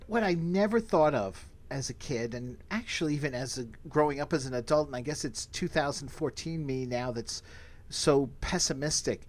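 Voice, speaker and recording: A man with a medium-pitched voice hosts a relaxed, informal review show. He recaps things conversationally, in an easygoing manner. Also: normal speed. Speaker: podcast host. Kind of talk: casual review chat.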